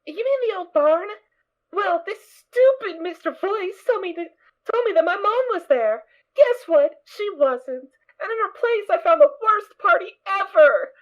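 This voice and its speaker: A woman's voice: very high voice, expressive, unhurried. A woman doing a hyperactive little filly. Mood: chatty. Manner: bubbly, childlike, bouncy. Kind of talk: fan audio drama reading. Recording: dull lo-fi recording.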